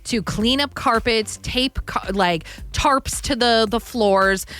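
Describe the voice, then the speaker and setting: A young adult woman co-hosting a morning radio show, teasing her partner and telling lively stories. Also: on the high side, slow, soft background music. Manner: teasing, animated storytelling. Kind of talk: casual radio banter. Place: radio studio.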